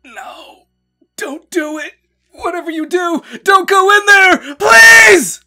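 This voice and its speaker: A man's voice: extremely high pitch, lively intonation, slow, sharp tone, shouting, soft background music, pitched above the performer's own voice, heavily acted. A man voicing a terrified, wounded marine in an audio drama, pleading desperately in shrieks. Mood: hysterical terror.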